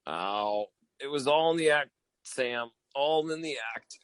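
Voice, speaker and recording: Male actor as blustering agent, pompous, breezy, medium-pitched voice, old-time radio comedy, dry close sound. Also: lively intonation, measured pace, fairly bright tone, clean tone, no echo. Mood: self-important. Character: blustering, self-important, bumbling male government agent.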